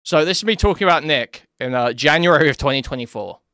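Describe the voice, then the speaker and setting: A man's voice: on the high side, expressive, quick pace, pushed and bright, dry recording. A young man doing online drama commentary, mocking and crude, chuckling at his own jabs. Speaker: commentary YouTuber.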